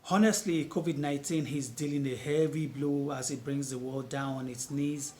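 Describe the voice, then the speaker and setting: A man's voice: mid-range voice, fairly even intonation, moderate pace, darkish tone. A man from Nigeria, giving an earnest news update as a reporter. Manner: earnest, emphatic. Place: outdoor market.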